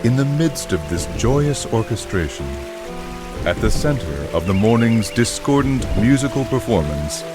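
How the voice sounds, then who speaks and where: An actor as a poetic storyteller, giving measured, solemn, literary narration for a video game. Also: male voice, mid-range voice, big pitch swings, natural voice. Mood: lyrical. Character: poetic male storyteller setting a pastoral scene. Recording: loud music, running water behind.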